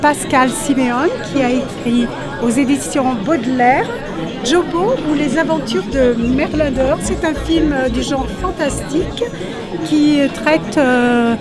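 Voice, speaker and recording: High-voiced woman over loud crowd noise. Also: unhurried. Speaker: presenter of her father's book. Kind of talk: unscripted book presentation. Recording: loud crowd and music in public venue. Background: loud crowd and music.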